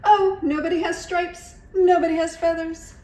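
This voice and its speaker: A woman voicing an eager, excitable female iguana in a storybook, exclaiming and repeating herself. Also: extremely high pitch, normal speed, echoey room, voice pushed up. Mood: surprised, upset.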